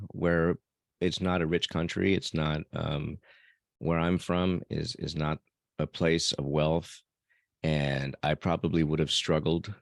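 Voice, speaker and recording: Male podcast guest, extremely low pitch, dry close sound, thoughtful, pauses mid-phrase, reflective conversation. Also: gravelly, dry recording. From born in El Salvador, raised elsewhere by adoptive parents.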